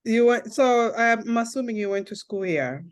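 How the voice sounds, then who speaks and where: Woman podcast host, curious, brief questions, relaxed and a bit hesitant. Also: fairly high pitch, normal speed. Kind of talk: casual interview conversation.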